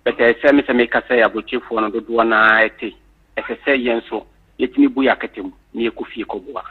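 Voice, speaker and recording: Husky-voiced man calling in by phone. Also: mid-range voice, fairly even intonation, quick pace. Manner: earnest, hesitant with many fillers. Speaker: caller. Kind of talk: call-in to a radio show. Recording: husky tinny phone line.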